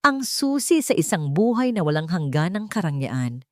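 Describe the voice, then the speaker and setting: A woman with a Filipino accent narrating a romance story in a measured, dramatic storytelling manner. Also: fairly low pitch, sing-song, a little mellow, no room sound, clean studio sound.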